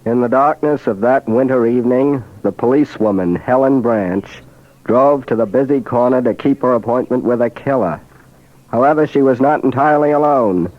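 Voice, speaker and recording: A man narrates a crime case over a dull vintage radio recording. His voice is mid-pitched and the delivery is scripted, in 1940s radio style. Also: moderate pace, no echo.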